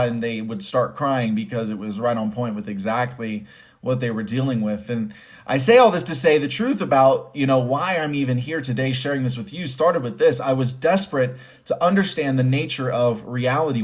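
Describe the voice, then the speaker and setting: Emphatic, conversational man giving motivational commentary. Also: medium pitch. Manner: conversational, emphatic, repeats phrases for stress. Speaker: host and commentator. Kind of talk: motivational spoken commentary with personal storytelling.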